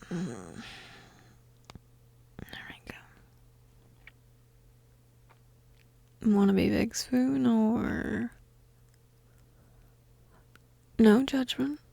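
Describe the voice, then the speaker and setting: Woman acting a breathy, whispery young goth roommate. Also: on the high side, no room sound, full character voice. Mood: teasing, shy. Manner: hesitant, gentle, teasing, breathy murmuring. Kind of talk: intimate audio roleplay, soft and half-whispered.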